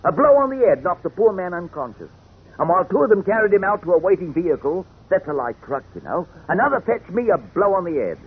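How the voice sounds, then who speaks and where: High, fussy, husky butler. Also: male voice, sing-song, fast, narrow, tinny audio, full character voice. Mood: agitated recounting. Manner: formal, prim, hesitant, deferential. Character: fussy, wordy gentleman's valet and butler, loyal, flustered. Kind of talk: 1940s radio acting. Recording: thin, band-limited old radio recording.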